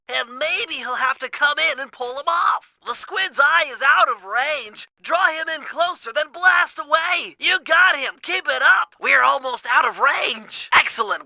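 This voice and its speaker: A man's voice: very high voice, gravelly, pushed and bright, dry recording, exaggerated voice. An actor playing a brainy, fussy young male turtle inventor in a video game. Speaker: game character voice.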